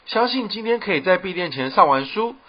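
A man's voice: high-pitched, expressive, a little fast. A man playing an eager, naively cheerful young bookstore clerk in a comedic radio play, chirpy and gullible. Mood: forced cheerful. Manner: chirpy, gullible, overly upbeat. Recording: slightly muffled, music behind.

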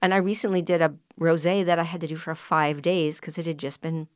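Woman, wine writer, earnest, lists examples, lowish voice, dry close sound. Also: little pitch movement, fairly quick, smooth, dry recording. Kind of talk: casual podcast chat.

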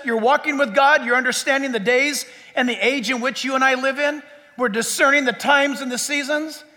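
A man's voice: very high-pitched, bright, forceful tone, big-room sound. A man preaching a sermon as pastor, with an emphatic pulpit cadence.

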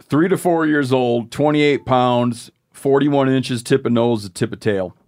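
A man's voice: mid-range voice, a little slow, darkish tone. A man hosting a casual podcast chat, reading listener mail aloud and making joking asides. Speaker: podcast host.

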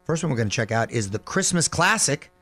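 A man's voice: medium pitch, big pitch swings, fairly bright tone. A man hosting a radio show, chatting casually with a guest and reading entertainment news in an upbeat way. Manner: upbeat, chatty, quick asides.

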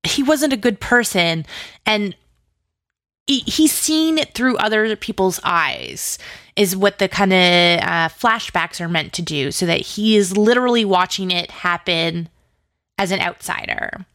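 A woman's voice: medium pitch, unhurried, a bit bright. Effusive woman chatting casually in an interview. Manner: informal and effusive, speaks in tumbling asides. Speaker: interview guest, audio drama writer and producer.

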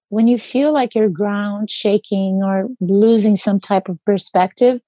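A woman's voice: medium pitch, warm tone, dry recording. A woman with a Brazilian accent, speaking earnestly as an interview guest and searching for her words as she reflects.

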